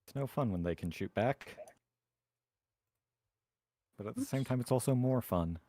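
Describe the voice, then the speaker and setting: A man chatting casually as a tabletop game player, thinking aloud deliberately about his moves. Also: medium pitch, lively intonation, warm tone, dry recording.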